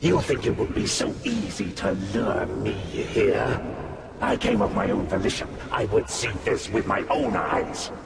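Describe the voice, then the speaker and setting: Menacing god, extremely gravelly. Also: male voice, fairly low pitch, sing-song, unhurried, recorded from a distance, noisy background, heavily acted. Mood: contemptuous. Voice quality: harsh, very gravelly. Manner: menacing, disdainful. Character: menacing, contemptuous, proud dark male god. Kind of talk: video game cutscene acting.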